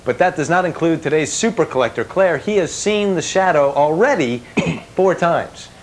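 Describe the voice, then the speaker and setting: A man's voice: fairly high pitch, normal speed. A man working as a field reporter, bantering and asking eager questions in a casual live feature interview. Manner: animated, jokey, chatty, eager questioning. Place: collector's house, indoors. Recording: noisy 1990s TV broadcast tape.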